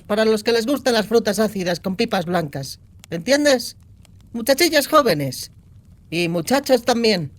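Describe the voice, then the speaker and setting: A woman's voice: a little high, natural voice. A brash young outlaw girl played by a woman in a dramatized fantasy audiobook. She talks in slangy, crude bursts with a cynical, sardonic edge. She sounds streetwise and foul-mouthed. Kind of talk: acted audiobook dialogue.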